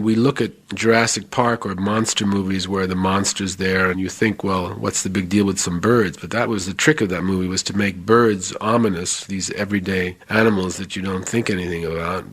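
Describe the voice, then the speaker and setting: A man's voice: low voice, husky. A man reflecting as a film commentator in a documentary interview.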